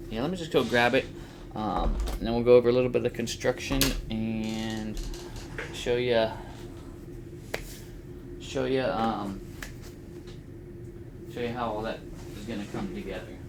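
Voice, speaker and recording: A middle-aged man casually explaining a hands-on build, halting as he thinks aloud, in a small home room. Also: medium pitch, steady tone. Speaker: host of a DIY flight simulator build channel. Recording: faint background room noise.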